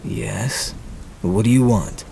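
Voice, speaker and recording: A woman's voice: slow, creaky, dark tone, heavily acted. A woman voicing a wary, terse man in his thirties in a fantasy audio story. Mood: concerned. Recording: faint rain and rumble behind.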